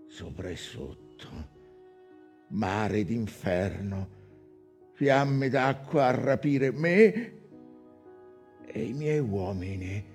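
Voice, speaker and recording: An actor playing an anguished, defiant shipwrecked whaling captain in a theatrical monologue, delivered in halting phrases that swing between hushed dread and cries. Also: male voice, mid-range voice. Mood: haunted despair. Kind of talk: dramatic theatrical monologue, literary stage acting. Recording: music under the voice.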